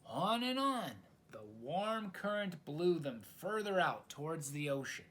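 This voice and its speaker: A man reading a children's story aloud in an expressive, dramatic storytelling style. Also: fairly high pitch, measured pace. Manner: dramatic, asks the listener questions.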